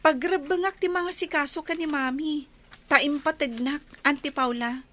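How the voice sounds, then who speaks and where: A woman's voice: high-pitched, steady tone, moderate pace, bright, forceful tone. A woman with an Ilocano accent playing a devoted, anxious young daughter in a radio drama, earnest and pleading. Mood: firm, anxious. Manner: earnest, pleading, respectful to elders.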